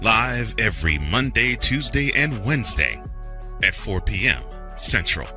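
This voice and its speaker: A man's voice: lowish voice, very animated, unhurried. A man reading a scripted podcast promo as a voice-over announcer. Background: faint music.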